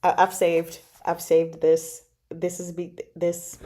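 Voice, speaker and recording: A woman chatting casually as a book club host, recapping a novel with gushing, slangy asides and drawn-out emphasis. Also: lowish voice, completely flat delivery, warm tone, a little hiss or hum.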